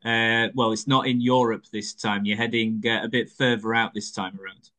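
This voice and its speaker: A man's voice: lowish voice, fairly even intonation, moderate pace, pushed and bright. English man, interviewer, friendly, hesitant, lots of fillers. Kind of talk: interview questions.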